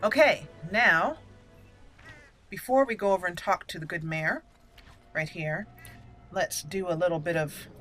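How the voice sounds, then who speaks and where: A woman's voice: on the low side, a little slow, pauses often, fairly bright tone, soft background music. A woman giving casual gameplay commentary, rambling and thinking aloud as she plays. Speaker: gameplay host.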